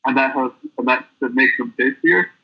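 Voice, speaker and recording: A man being interviewed as a guest, telling stories in a rambling, halting way. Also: medium pitch. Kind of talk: casual interview chat. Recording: tinny phone-line audio.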